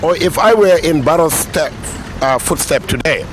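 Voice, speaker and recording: A man giving a firm, deliberate opinion to a street interviewer outdoors. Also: fairly high pitch, animated, slight background noise, poor audio. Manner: argumentative, deliberate. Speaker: passer-by interviewee. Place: roadside in a city, outdoors. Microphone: handheld mic held by interviewer.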